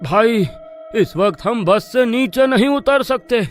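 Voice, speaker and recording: A man playing a frightened, whining villager in a horror comedy story, with panicky, drawn-out exclamations. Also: very high-pitched, lively intonation, soft background music, exaggerated voice.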